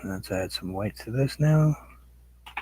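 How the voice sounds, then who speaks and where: A middle-aged man with a raspy, husky voice that sits fairly low, chatting loosely to viewers while he works on a painting. He rambles in an easygoing way, wandering between his brushwork and his own life. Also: lively intonation, moderate pace, warm tone, no echo. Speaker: painting livestream host. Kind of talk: casual chat while painting.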